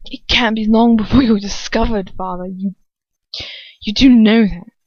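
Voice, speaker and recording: A woman's voice: medium pitch, steady tone, measured pace, a little mellow, no room sound, highs cut off, lightly acted. A woman voicing a defiant, sneering young wizard son who mocks his father in an audiobook-style story reading, with emphatic, incredulous delivery. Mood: mocking.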